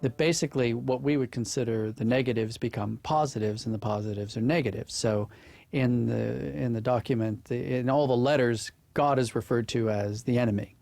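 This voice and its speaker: A man's voice: mid-range voice, brisk, creaky, a little mellow, dry recording. A man from a drama production team giving explanatory interview commentary.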